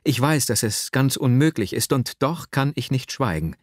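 A man's voice: medium pitch, animated, moderate pace, no echo, voice pushed up, close to an everyday voice. Lovesick, self-doubting young man for a literary audiobook, acted by a male reader: earnest, urgent, medium register, very dry recording. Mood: confessional. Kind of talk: dramatic literary audiobook reading.

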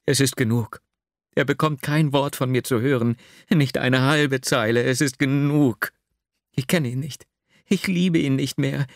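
A man's voice: a little high, moderate pace, no room sound, clean studio sound, voice pushed up. A man voicing a distraught, jilted young woman in an audiobook, emotional and pleading, given to exclamations. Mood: bitter, defiant. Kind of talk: dramatic literary audiobook reading.

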